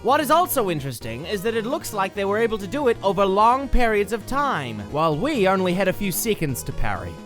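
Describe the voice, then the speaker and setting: A man as animated bookish cartoon cup brother. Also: sits high, fairly quick, few pauses. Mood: eager lecturing. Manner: emphatic, rambling lecture delivery. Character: excitable bookish cup-headed cartoon brother, eager lecturer. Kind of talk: comic dub voice acting. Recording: loud music behind the voice.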